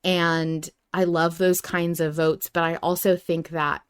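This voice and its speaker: A woman with a slightly low voice hosts a casual podcast chat. She talks informally and tends to ramble, working out her thoughts as she goes. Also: flat delivery, a little slow, clean tone. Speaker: podcast host. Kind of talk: casual fan discussion chat.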